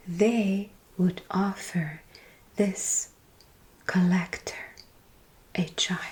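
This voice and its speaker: A woman voicing an ageless female vampire who tells scary tales to a mortal in a soft, unvoiced ASMR whisper, slow and drawn out with long pauses. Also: medium pitch. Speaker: ASMR storyteller. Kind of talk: ASMR roleplay storytelling.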